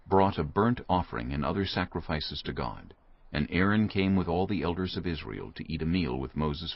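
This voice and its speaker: A male narrator reads scripture in a very low, husky, creaky voice. His storytelling is measured but expressive in pitch, on a slightly dull recording. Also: no room sound.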